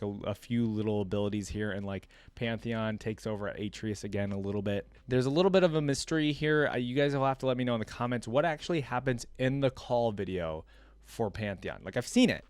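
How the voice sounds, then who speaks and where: A man hosting a reaction video, reading aloud and adding informal asides as he thinks out loud. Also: lowish voice, fairly quick, clear, resonant tone, dry recording. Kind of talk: casual commentary, reading wiki text aloud with asides.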